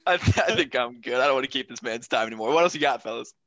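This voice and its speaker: A man hosting a casual podcast, throwing quick jokes and quips. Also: mid-range voice, animated, a bit bright, no room sound. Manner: joking, quick quips, laughs often. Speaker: podcast host.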